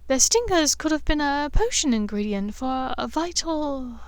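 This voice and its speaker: A woman voicing an earnest, expressive teenage schoolgirl witch in an audiobook. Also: high-pitched, unhurried, no echo, pitched above the performer's own voice.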